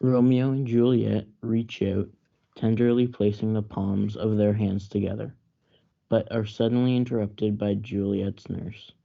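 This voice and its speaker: A man's voice: lowish voice, steady tone, measured pace, gravelly. A young man reading scripted narration for a student reader's theatre play. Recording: dull lo-fi audio.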